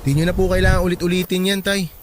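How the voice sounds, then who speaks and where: A man's voice: sits high, level tone, fast, a little hiss or hum, lightly acted. A man playing a resentful young adult son in a Tagalog radio drama, curtly polite on the surface and pointedly sarcastic. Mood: confronting, sarcastic. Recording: loud music bed under dialogue.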